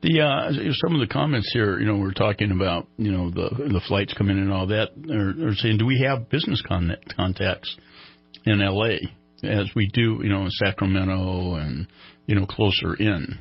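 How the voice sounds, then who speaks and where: A man's voice: lowish voice, normal speed, slightly rough, muffled recording. A man hosting a casual radio interview, talking in a chatty, meandering, joking way. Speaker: radio host.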